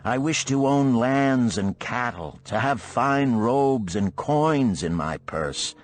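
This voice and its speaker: A man's voice: mid-range voice, expressive, slow pace, soft background music, pitched above the performer's own voice. A man voicing a middle-aged, discontented chariot builder of ancient Babylon in a storybook, earnest and declamatory, with archaic phrasing. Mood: yearning, sad.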